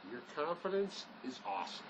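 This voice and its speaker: A man's voice: on the high side, big pitch swings, brisk, noisy background. A man playing a skeptical, sarcastic fugitive in an audio drama, grumbling sardonically at his partner.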